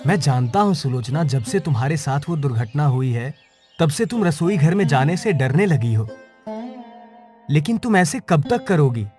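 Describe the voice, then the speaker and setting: A man playing a troubled woodcutter and family man in a cartoon story, earnest and measured. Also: a little high, lively intonation, close to an everyday voice. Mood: concerned. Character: weary, troubled woodcutter, husband and father. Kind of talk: Hindi cartoon story dialogue. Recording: music under the voice. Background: music.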